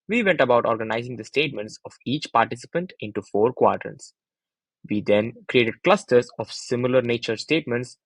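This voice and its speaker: A man's voice: medium pitch, breathy edge, no echo, rough recording. A young adult man reading a scripted research presentation as a student narrator, in an orderly, explanatory manner.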